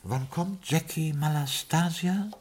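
A man's voice: fairly high pitch, takes it slowly, darkish tone, breathy edge. An actor playing a formal, dryly ironic butler in his late forties in a 1950s radio drama. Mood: deferential dry. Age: 40s.

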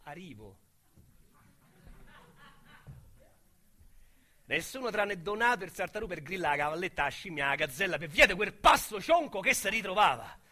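An actor with a Roman accent playing a boastful small-time male crook in a radio drama, talking in a colorful, slangy way that swings between loud bragging and hushed menace. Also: high voice, exaggerated voice. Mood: brooding. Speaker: narrator-protagonist.

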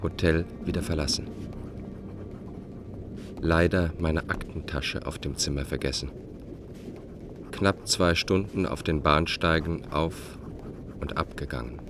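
Detached diarist, extremely low, clipped monologue over music, radio drama. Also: male voice. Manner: clipped, matter-of-fact. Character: cold, methodical man logging his own movements. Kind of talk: radio play monologue, clipped telegram-like diary.

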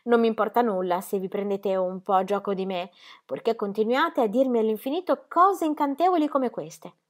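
A woman's voice: fairly high pitch, normal speed, voice pushed up, lightly acted. A woman playing a gushing, rapturous young girl. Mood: enraptured.

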